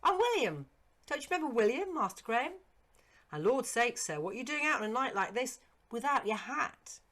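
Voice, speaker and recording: A woman's voice: fairly high pitch, very animated, brisk, fairly bright tone, voice pushed up. A woman reading a storybook and voicing a deferential, concerned Victorian working man, respectful and urgent. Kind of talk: storybook character acting.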